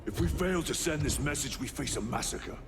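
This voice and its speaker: A man's voice: medium pitch, animated, brisk, noisy background, lightly acted. A man voicing a grave, commanding armored space officer, terse and firm, in a dramatic video game.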